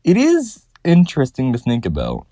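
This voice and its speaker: A man's voice: medium pitch, huge pitch swings, moderate pace, dark tone. A man giving scripted commentary as the host of a theory video.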